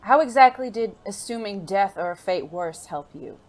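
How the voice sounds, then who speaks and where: An actor playing a suave, genteel gentleman secret agent in an audio drama, with formal, refined phrasing and a playful, flirtatious, teasing manner. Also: mid-range voice, animated, normal speed, lightly acted. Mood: wry, fond.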